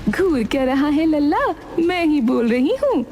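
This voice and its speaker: Shrill, teasing magic ladle. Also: female voice, sing-song, darkish tone, in a large hall, a little hiss or hum. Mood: calling, teasing. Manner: drawn-out, familiar, teasing. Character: talking old magic ladle, female, teasing and cryptic. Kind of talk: Hindi cartoon story acting.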